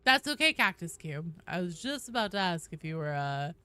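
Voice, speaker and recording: Woman, game streamer, bright forceful voice, very lively pitch, playful, teasing, exclamatory, casual chat. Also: moderate pace, clean tone. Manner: animated, playful, with sudden exclamations; jokey, teasing banter. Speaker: video game streamer and host. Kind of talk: casual live stream chat, reading and answering viewers.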